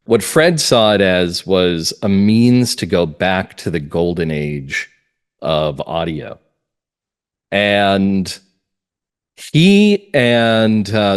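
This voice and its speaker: A man speaking as a writer being interviewed, reflective and earnest, setting out his views in deliberate, emphatic phrases. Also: on the low side. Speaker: playwright and audio drama writer, interview guest.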